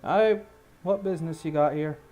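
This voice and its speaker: A man's voice: on the high side, moderate pace, dark tone, faint music behind, lightly acted. A man voicing a firm, officious gate guard in a tabletop game, politely stonewalling visitors.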